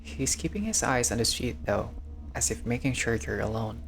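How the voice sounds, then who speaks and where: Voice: mid-range voice, normal speed, creaky, faint music behind. A gamer reading a visual novel aloud in a casual let's-play style, breaking off into joking, sweary asides.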